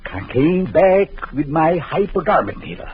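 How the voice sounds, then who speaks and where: An actor playing a cruel, vengeful doctor in an old radio drama, taunting in a slow, sinister way. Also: male voice, fairly high pitch, big pitch swings, a little hiss or hum. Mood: menacing. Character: vengeful jealous male doctor, gloating and cruel. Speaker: villain. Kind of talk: 1940s radio acting. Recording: muffled old radio recording.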